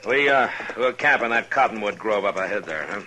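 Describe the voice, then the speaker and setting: An actor playing a stern, steady western marshal in an old-time radio drama, terse and measured in his commands and narration. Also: on the low side, a little hiss or hum. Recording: vintage radio drama with music bed.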